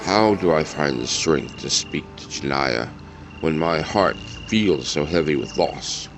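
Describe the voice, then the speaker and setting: An actor playing an old, honorable, grieving Klingon warrior in an audio drama, speaking in a solemn, weighty, halting way. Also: male voice, extremely low pitch, lively intonation, creaky, music in the background, full character voice. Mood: solemn grief.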